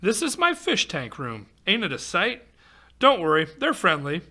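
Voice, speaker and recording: A man's voice: sits high, wildly swooping pitch, normal speed, sharp tone. A man reading a rhyming picture book aloud to children, expressively, with exclamations and chatty asides. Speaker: volunteer storybook reader for children.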